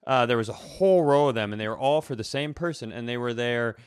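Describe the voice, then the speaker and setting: A man's voice: medium pitch, fast, clear, resonant tone, no echo, clean studio sound. A man from Minnesota with an American accent, co-hosting a comedy podcast in casual, rambling, digressive chat. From Minnesota, United States.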